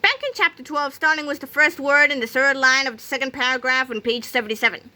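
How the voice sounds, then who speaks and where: A woman voicing a confident, breezy, mischievous schoolboy in an animated children's storybook read-aloud. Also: high-pitched, quick pace, pitched above the performer's own voice.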